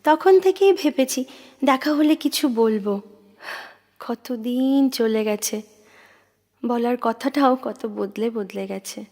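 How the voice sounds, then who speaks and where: An actress playing a young woman who is a poet's devoted admirer, wistful, earnest and gently frank, in audio drama style. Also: on the high side, slow, pauses often. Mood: earnest, confiding. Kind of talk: Bengali audio drama acting. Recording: reverberant voice with music underneath. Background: background music.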